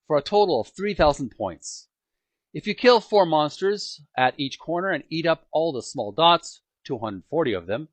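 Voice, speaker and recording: A man reading a book aloud as an amateur narrator, with emphatic, dramatic phrasing and frequent pauses. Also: a little high, no room sound. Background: music.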